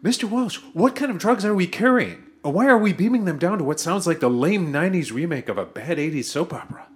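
A man's voice: high-pitched, lively intonation, a little fast. A man voicing a greedy, whiny male crewman in a comedy audio drama, given to giddy squeals. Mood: sarcastic.

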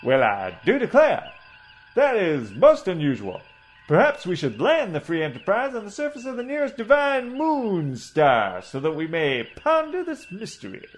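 A man's voice: sits high, huge pitch swings, moderate pace, pitched above the performer's own voice. A man voicing a pompous old male ship's doctor in a comedy audio drama, talking in a florid, preachy way.

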